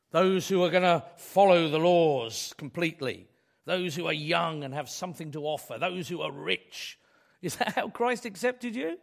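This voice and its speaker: A man delivers a sermon in a hoarse voice, worn down by a cold. He talks high and with lively rises and falls, and keeps the tone conversational with rhetorical questions thrown at his listeners. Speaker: pastor.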